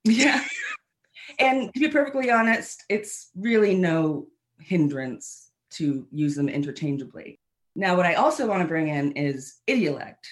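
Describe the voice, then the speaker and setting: A woman dialect coach with a Southern American accent, explaining things animatedly and laughing at herself. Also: low voice. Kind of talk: explaining in casual interview.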